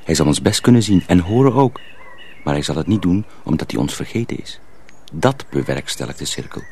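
A man playing a sly young miller's apprentice who poses as a simpleton, speaking confidingly and deliberately in a radio drama. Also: deep voice, expressive, darkish tone. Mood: earnest warning. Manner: deliberate, hesitant, confiding, repeats himself. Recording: faint background noise.

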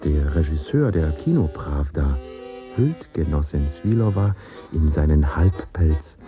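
A terse observer in a radio play with an extremely deep voice. His remarks are clipped and deliberate, dull in sound over clear accordion music. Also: lively intonation. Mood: terse, cool. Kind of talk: clipped poetic radio drama interjections.

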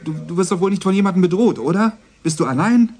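A young male police dispatcher in a radio crime drama. His slightly rough, husky voice moves animatedly in pitch, official and dutiful yet pleading and exasperated. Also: lively intonation, fast talker, a little mellow. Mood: pleading, worried. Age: young adult.

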